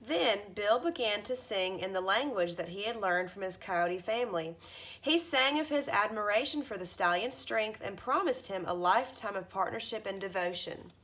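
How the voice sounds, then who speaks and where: A woman in her thirties reading a children's storybook aloud like a teacher, with expressive emphasis at the starts of phrases. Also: mid-range voice, normal speed. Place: carpeted living room at home, indoors.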